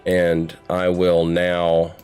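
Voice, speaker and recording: A man's voice: low voice, monotone, extremely slow, darkish tone, clear, resonant tone, faint music behind. A man chatting casually and profanely as he hosts a livestream of a game playthrough. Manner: profane, conversational. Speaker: game streamer and host.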